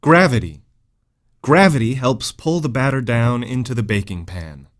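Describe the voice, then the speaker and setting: A man's voice: medium pitch, animated, normal speed. A man giving scripted educational narration, reading out vocabulary words and example sentences.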